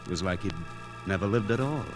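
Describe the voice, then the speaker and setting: Man acting a low-voiced hard-boiled 1940s radio reporter. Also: fast talker, over loud music, natural voice. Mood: wry, brooding. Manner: terse, wisecracking, world-weary. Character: hard-boiled, driven male newspaper reporter narrating his case. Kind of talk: 1940s radio noir acting, wry narration. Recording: 1940s radio transcription disc.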